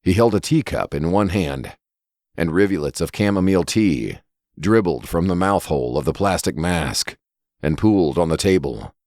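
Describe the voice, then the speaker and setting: Very deep-voiced man narrating a dark story. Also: expressive, normal speed, dry recording, clean studio sound. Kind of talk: scripted horror story narration.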